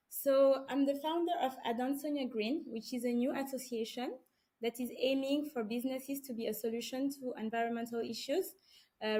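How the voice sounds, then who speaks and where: A woman's voice: a little high, a little mellow. Woman explaining step by step. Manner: explains step by step in lists, with frequent pauses and self-corrections. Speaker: panelist, founder of an environmental association. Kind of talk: online panel introduction.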